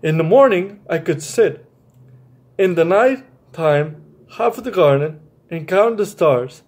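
A young man with a slightly high voice hosts a story time for children. He speaks very slowly and haltingly, often doubling back on a phrase as he addresses his young listeners. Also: animated, a little mellow. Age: young adult. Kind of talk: children's story time, read-aloud and chat to viewers. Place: small bright room indoors.

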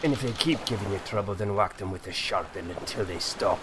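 An actor playing an earnest, bashful and courteous knight of humble birth in a fantasy audio drama. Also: male voice, low-pitched, a little fast, very noisy, lightly acted. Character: humble, gallant young male knight of low birth.

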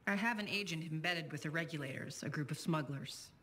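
A woman's voice: deep voice, fairly quick, a bit bright, slight background noise. A woman voicing a cool, businesslike spymaster in a video game, composed and matter-of-fact. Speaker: intelligence agent handler.

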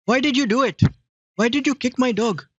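A man's voice: extremely high pitch, brisk, fairly bright tone, husky, no echo, muffled recording, full character voice. A man playing a confused victim on a prank call, speaking broken English in a put-on Indian accent and teasing the caller. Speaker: scam baiter posing as a victim.

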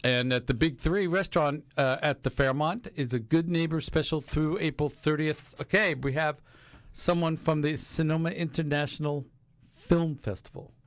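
Jokey older radio host from New York City. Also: male voice, fairly high pitch, fairly even intonation, moderate pace, no echo. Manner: rambling and jokey, reads sponsor copy. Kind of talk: morning radio talk show. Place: small radio studio. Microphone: studio mic with headphones.

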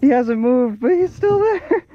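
A man's voice: very high voice, measured pace, highs cut off, slight background noise. A young man on a small boat filming his fishing trip, chatting and exclaiming as he fights a catch. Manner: excited, exclaiming over the catch. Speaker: fishing vlogger. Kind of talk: casual chat while fishing. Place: small boat on open water outdoors.

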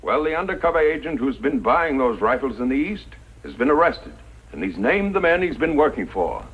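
Frontier army commander, authoritative and orderly, slightly rough voice, narrow vintage radio western sound. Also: male voice, medium pitch, very animated. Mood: formal, grave. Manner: formal, measured briefing. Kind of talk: 1950s radio western acting. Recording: thin phone-like vintage radio recording.

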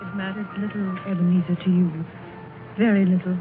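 A woman's voice: lowish voice, level tone, close to an everyday voice. Woman acting a sorrowful young fiancée, old radio. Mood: sad, resigned. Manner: measured, wistful, earnest. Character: sorrowful, gentle young fiancée, resigned to parting. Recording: muffled 1938 radio broadcast with music.

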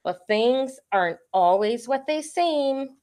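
A woman voicing a gentle, reassuring mother llama in a children's storybook, speaking in a soft, soothing way. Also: high-pitched, lively intonation, slow pace.

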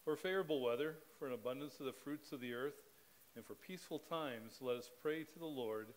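A man's voice: mid-range voice, low-quality recording. Middle-aged man reading liturgy at a pulpit. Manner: measured, steady reading cadence. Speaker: pastor. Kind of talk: leading a spoken liturgy. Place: church sanctuary, at a pulpit. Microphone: pulpit microphone.

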